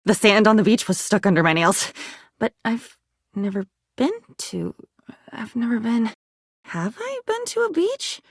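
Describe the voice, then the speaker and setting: A woman's voice: fairly high pitch, sing-song, unhurried, gravelly, breathy edge, no echo, studio quality, heavily acted. An actress playing an unhinged, dreamy woman with a volatile temper who rambles disjointedly in a video game. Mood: puzzled, rambling. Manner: disjointed rambling, swinging between wistful and agitated. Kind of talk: video game character voice acting.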